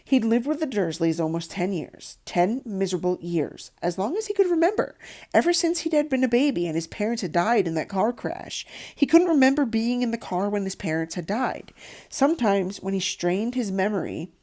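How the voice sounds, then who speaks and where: A woman reads a storybook aloud as narrator. Her voice is soft-edged and mellow, and her pitch moves around a lot as she tells it expressively. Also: lively intonation, normal speed. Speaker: audiobook narrator.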